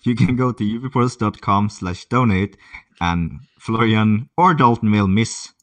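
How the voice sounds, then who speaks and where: A man's voice: fairly low pitch, unhurried, no echo, recorded from a distance. A young man from Norway with a Norwegian accent, co-hosting a casual video call chat in a playful, teasing way. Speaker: co-host. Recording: dry, a bit off-mic.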